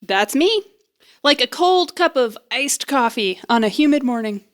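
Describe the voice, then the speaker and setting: A woman's voice: high-pitched, lively intonation, measured pace. A woman playing a nervous, jokey young woman who rambles and puts herself down as she bluffs through an interview in an audio drama. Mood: awkward, wry. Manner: rambling, self-deprecating, flustered. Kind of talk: audio drama acting, conversational comedy.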